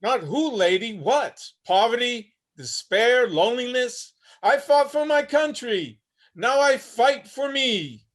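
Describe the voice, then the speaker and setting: Male actor as weary 73-year-old homeless war veteran, sardonic and blunt, very high-pitched for a man, stage play reading. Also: animated, slow pace, a bit bright, exaggerated voice. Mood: weary, defiant. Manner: wry, blunt, streetwise. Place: remote video-call reading.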